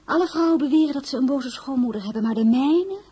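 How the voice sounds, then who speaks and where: An actress playing an impulsive, homesick young crown princess in a radio drama, with a husky, breathy voice. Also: female voice, high-pitched, lively intonation, fast talker, warm tone, no room sound. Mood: plaintive. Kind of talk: radio drama acting with first-person narration.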